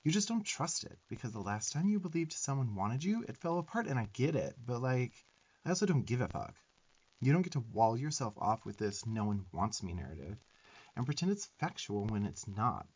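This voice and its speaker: A sarcastic little demon twink, played by a man, bosses the listener around with affection in an ASMR roleplay. His mid-pitched young voice swings up and down with sassy emphasis and blunt swearing. The sound is a little dull. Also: sing-song, a little fast, darkish tone, husky. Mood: firm, tender. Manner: sassy, emphatic, profane tough-love delivery.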